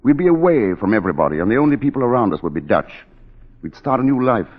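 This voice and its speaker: Impulsive, fast-talking husband, animated. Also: male voice, mid-range voice. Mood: eager, hopeful. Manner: emphatic, impatient, endearments to his wife. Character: impulsive, insistent husband brimming with enthusiasm, later aghast. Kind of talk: 1940s radio drama acting. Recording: dull 1940s radio broadcast, long reverb.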